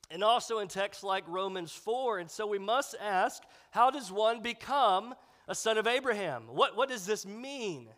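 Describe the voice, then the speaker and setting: A man's voice: high-pitched, fairly bright tone. A man preaching a sermon as pastor, sounding congested and nasal from a sinus infection, in a conversational way with fillers. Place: church sanctuary. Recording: long hall reverb.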